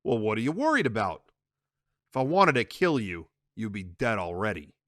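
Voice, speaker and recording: A man voicing a smooth, menacing male gangster who pushes people around. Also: on the low side, big pitch swings, moderate pace, fairly bright tone, no echo.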